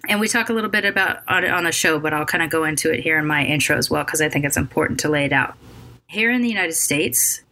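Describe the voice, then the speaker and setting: A woman's voice: deep voice, bright, forceful tone. Tired, scratchy-voiced woman podcast host. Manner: halting, with many ums and restarts. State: scratchy voice after a cold; very tired, late at night. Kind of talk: casual solo podcast intro.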